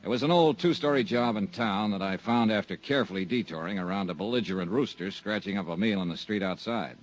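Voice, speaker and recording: An actor playing a wry, hard-boiled insurance investigator who narrates and trades dry, sardonic quips in a 1950s radio drama. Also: male voice, lowish voice, fast, close to an everyday voice, rough recording. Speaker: narrator and lead.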